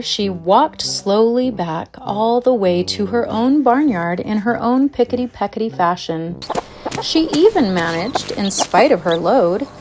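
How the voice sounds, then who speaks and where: A woman narrating a scripted children's fairytale with an expressive storybook delivery. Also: fairly high pitch, noisy background. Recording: music behind the narration. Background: music.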